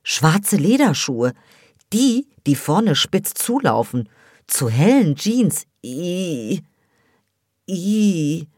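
A woman's voice: on the low side, sing-song, very slow, darkish tone, dry recording, studio quality, heavily acted. Woman voicing a scornful teenage girl, mocking. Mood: mocking gossip. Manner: mocking, gossipy, scolding. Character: scornful teenage girl, sharp-tongued smoker.

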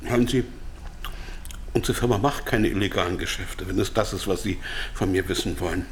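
A man plays a captive businessman in a radio play. His lowish voice is insistent, nervous and exasperated as he pleads with his captor. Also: a little fast, a little hiss or hum, close to an everyday voice. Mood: wary. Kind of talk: radio play acting, narrated dialogue.

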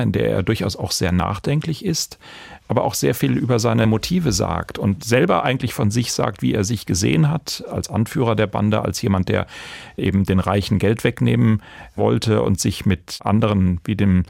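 Man with a very creaky, low voice. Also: a little mellow, no echo, clean studio sound. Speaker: podcast host. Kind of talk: conversational true crime podcast discussion.

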